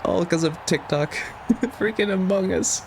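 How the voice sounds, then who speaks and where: A man's voice: high-pitched, unhurried, vocal fry, a little hiss or hum. A man giving casual, slangy livestream commentary on a game, in a joking, giggly manner. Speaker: game streamer. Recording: game music behind the voice.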